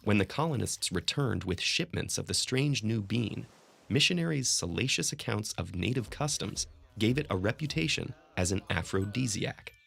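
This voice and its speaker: A man giving scripted narration for an educational video in a smooth, measured storytelling way. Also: lowish voice, animated, fairly bright tone. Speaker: documentary narrator.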